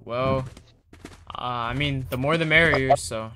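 A man improvising informal roleplay chat in a video game, reacting in an animated way. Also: medium pitch, measured pace, slight background noise. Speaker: roleplay video host and player.

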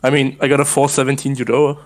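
A man's voice: medium pitch, little pitch movement, fast talker. A man in casual voice chat during a speedrun race, laughing often and teasing the others with a lot of swearing.

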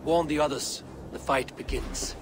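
A man playing a grave, burdened Norse chief god in a video game, measured and authoritative. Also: medium pitch, over music, natural voice. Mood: commanding. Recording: clear outdoor ambience noise behind.

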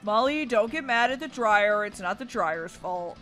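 A woman voicing a cheerful, easygoing, goofy dad in an animated, playful family role-play over a video game. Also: mid-range voice, measured pace, over music, close to an everyday voice. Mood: mildly scolding.

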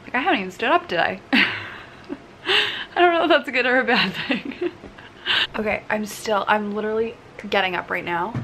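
A young woman vlogging casually from her bed with a croaky morning voice and a relaxed, chatty manner full of fillers, filmed on a camera held at arm's length. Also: mid-range voice, brisk, long pauses, a little hiss or hum. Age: young adult. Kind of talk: casual vlog chat. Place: bedroom, in bed. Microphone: handheld camera at arm's length.

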